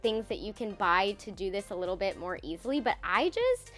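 A woman's voice: medium pitch, big pitch swings, fairly quick, fairly bright tone. Brief: young woman, eager DIY vlogger voice-over, faint music underneath. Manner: enthusiastic, upbeat and explanatory. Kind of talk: voice-over narration of a DIY project. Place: voice-over, not recorded in the pictured bathroom.

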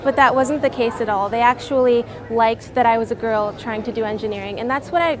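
A woman's voice: a little high, a little fast. A teenage girl from New Orleans, a science fair student, talking eagerly and chattily in an interview with many fillers. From New Orleans, Louisiana. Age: teens. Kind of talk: casual interview answers. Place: busy convention hall. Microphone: lapel mic. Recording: crowd chatter behind.

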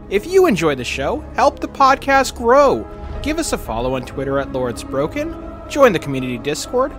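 A man's voice: on the high side, a bit bright. A man reading the podcast's sponsor and social media announcements in an upbeat way. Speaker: podcast host.

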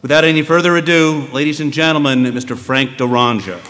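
A middle-aged American man giving a measured, dryly humorous introduction at a formal school ceremony. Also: a little high, fairly bright tone, a little hiss or hum. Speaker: school official introducing a student speaker. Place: podium on a stage in a large hall. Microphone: podium microphone. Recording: echoey large hall, long reverb.

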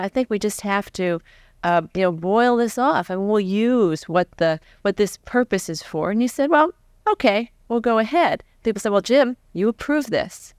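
An American woman in her forties interviewing onstage in a conversational, animated way, thinking aloud as she goes. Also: medium pitch, no echo, clean studio sound. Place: stage before a live audience. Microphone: large foam-covered microphone on a stand close by.

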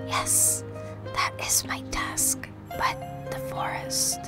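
A woman voicing a muddled, protective forest guardian in a game, with a halting, breathy mumble. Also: whispered, over loud music, close to an everyday voice. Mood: agitated, resolute. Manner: halting, repetitive.

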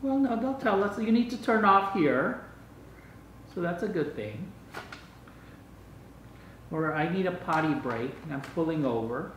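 A man's voice: fairly high pitch, expressive, unhurried, pauses often, fairly bright tone. An older man chatting casually as he hosts a home vlog, testing out a gadget. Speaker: vlog host. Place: dining room at home. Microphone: camera microphone across the room. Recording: echoey room, distant mic, faint noise.

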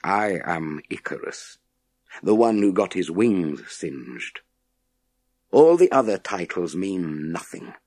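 A man playing a world-weary, authoritative former astronaut with a wry, intense, deliberate manner. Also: low voice, sing-song, a little mellow, no room sound, full character voice. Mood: brusque, wry.

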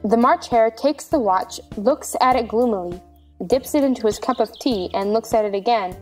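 A woman's voice: fairly high pitch, normal speed, faint music behind. A woman reading scripted narration of stage directions for a play.

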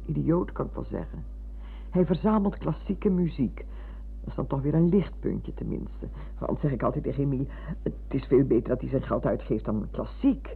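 A narrow, phone-like radio recording carries an elderly parent in a radio play. The voice is raspy and slightly high, chatty and full of opinions as it meanders along. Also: male voice, heavily acted. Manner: gossipy, meandering. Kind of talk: Dutch radio play acting.

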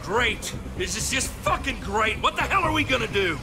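An actor playing a hot-tempered, distrustful survivor in a video game, sarcastic and abrasive. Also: male voice, very high voice, big pitch swings, normal speed, background noise, exaggerated voice. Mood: sarcastic, frustrated. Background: music.

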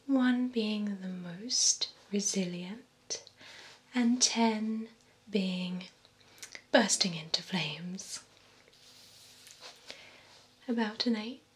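Woman playing a slow whispering vampire beautician. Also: mid-range voice. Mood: attentive, gently teasing. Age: young adult. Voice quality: breathy whisper. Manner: slow, soothing, formal client care. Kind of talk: ASMR role-play, whispered personal attention. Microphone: close binaural microphone. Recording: close whisper over clear ambient noise.

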